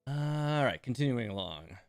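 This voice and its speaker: A man's voice: medium pitch, expressive, unhurried, clear, resonant tone, no echo, clean studio sound, soft background music. A man giving casual, chatty game commentary as a streamer, talking to viewers and repeating words for emphasis.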